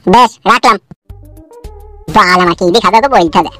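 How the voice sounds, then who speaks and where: A man's voice: high voice, moderate pace, sharp tone, dry recording, faint music behind. A man voicing an irritable, foul-mouthed young village son in a comedy cartoon, curt and grumbling. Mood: angry, cursing.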